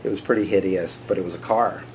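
A middle-aged man from California, a company CEO telling stories in a relaxed, conversational way as an interview guest, often pausing with "uh" and "you know". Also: deep voice, a little hiss or hum, low-quality recording. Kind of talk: casual interview storytelling.

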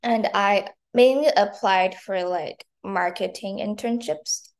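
Young woman with creaky voice. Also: medium pitch, slow. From Taiwan and China. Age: early 20s. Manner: chatty, frequent "like". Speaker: interview guest, marketing intern and university student. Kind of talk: casual interview answers.

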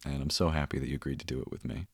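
A man with a very low voice talks as a host in a relaxed interview chat. The sound is very dry and close, with no room around it. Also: racing, vocal fry, slightly rough, no room sound. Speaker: podcast host and audio performer. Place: small closet home recording space. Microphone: close studio-style mic in a closet.

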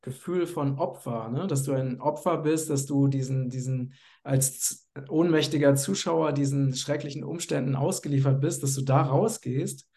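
A man's voice: medium pitch, moderate pace, dark tone. A man in his fifties speaking earnestly in conversation, searching for words with frequent fillers. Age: 50s. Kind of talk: personal conversation.

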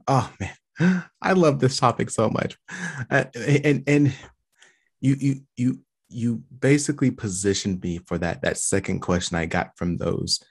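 A man hosting a podcast interview, eager and casual, repeating words as he searches for them. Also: on the low side. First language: English. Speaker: podcast host.

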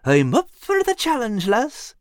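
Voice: medium pitch, wildly swooping pitch, measured pace, no echo, clean studio sound, pitched above the performer's own voice. A narrator voicing an ever-hungry male cat who speaks in a folksy dialect. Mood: pleading. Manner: folksy dialect, says lass and me. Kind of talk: storybook character voice.

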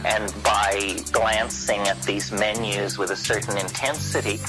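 A man lectures in a slow, measured and musing way, his voice sitting fairly low. The recording comes from archival talk, sampled into a track. A loud hip hop beat runs underneath throughout. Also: fairly even intonation, over music, rough recording.

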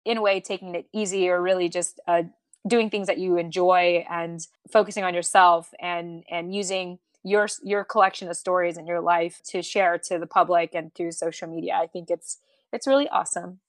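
A woman's voice: lowish voice, flat delivery, moderate pace, no echo, studio quality. A woman hosting a podcast, chatting in an agreeable, reflective way with small restarts. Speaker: podcast host. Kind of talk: casual chat.

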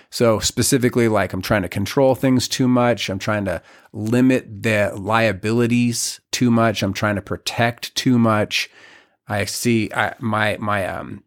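A man in his forties, a guest on a podcast, talking in a reflective, halting way with many restarts. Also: lowish voice. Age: 40s. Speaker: podcast guest, community leader. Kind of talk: casual podcast conversation.

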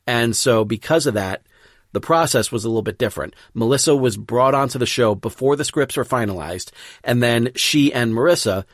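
A man's voice: medium pitch, a little fast, dry recording, studio quality. A man hosting a podcast, giving a casual introduction. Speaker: podcast host and producer.

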